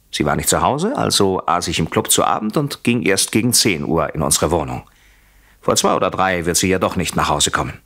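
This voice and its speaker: A man playing a coldly polite, vengeful husband in a radio play, speaking in a husky, deliberate, menacing way that often drops to a whisper. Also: low-pitched, fairly bright tone, full character voice. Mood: smugly calm. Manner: mock-courteous, deliberate, menacing. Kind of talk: German radio play acting.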